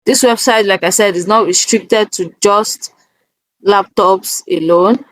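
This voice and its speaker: A woman's voice: mid-range voice, measured pace, fairly bright tone, rough recording. A woman presenting a casual step-by-step tutorial, instructive and often checking that the listener is following.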